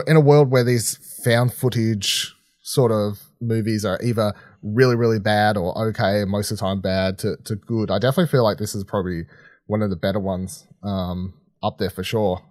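A man's voice: fairly low pitch, no echo, studio quality. A man co-hosting a podcast, giving a casual, rambling review full of filler phrases like "sort of". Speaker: podcast co-host reviewing films.

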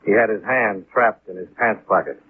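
A man's voice: lowish voice, normal speed, slightly rough. A man playing a wry, hard-boiled American insurance investigator in a 1950s radio detective drama, with clipped, dry delivery. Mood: brisk, wry narration. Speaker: lead actor and narrator. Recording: muffled, lightly noisy 1950s radio recording.

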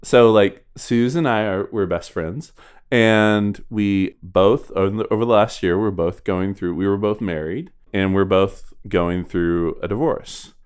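A woman's voice: extremely low pitch, a little slow, darkish tone, smooth. A woman co-hosting a podcast in a casual, friendly, informal conversational style. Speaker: podcast co-host.

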